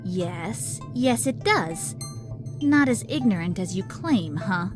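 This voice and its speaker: A woman's voice: mid-range voice, animated, takes it slowly, music in the background. A woman playing a sardonic, theatrical and exasperated villain rival in a visual novel game. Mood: weary, threatening. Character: Kiki, sardonic, dramatic, gun-toting female villain rival.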